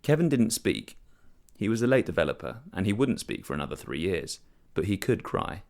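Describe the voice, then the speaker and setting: A man narrates a short story in the first person, reading from a script. His voice is very low, with lively movement in pitch. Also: fairly quick, gravelly.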